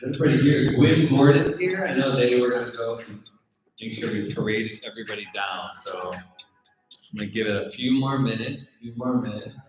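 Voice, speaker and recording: A man's voice: mid-range voice, fairly quick, highs cut off, faint music behind. A man hosting a live gathering, making informal announcements in a conversational way and putting questions to his listeners. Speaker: event host and program organizer. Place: indoor mixer venue in front of a gathering. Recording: very echoey room, live event.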